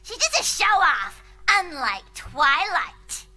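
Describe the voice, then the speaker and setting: A woman's voice: very high voice, big pitch swings, slow, sharp tone, exaggerated voice. A woman voicing a blunt, exasperated young male baby dragon in a live fan reading. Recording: faint show music underneath.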